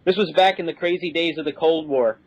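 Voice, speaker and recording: A man's voice: fairly high pitch, fairly quick, dry recording. A man speaking as a podcast guest, explaining technical things conversationally in a matter-of-fact way. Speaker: aerospace professional, podcast guest. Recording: dull lo-fi podcast audio.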